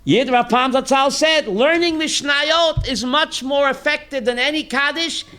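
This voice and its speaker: High, bright-voiced rabbi quizzing his class. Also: unhurried. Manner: reads Hebrew text then translates, quizzing listeners; animated, emphatic rhetorical questions. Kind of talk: Torah class sermon.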